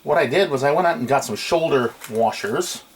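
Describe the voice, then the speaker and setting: A man's voice: mid-range voice, expressive, a little fast. A middle-aged man giving a casual, unscripted hands-on demonstration at a workbench, recorded on a camera microphone in a small room. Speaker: hobbyist electronics restorer. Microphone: camera microphone at a short distance. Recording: noisy amateur camcorder room recording.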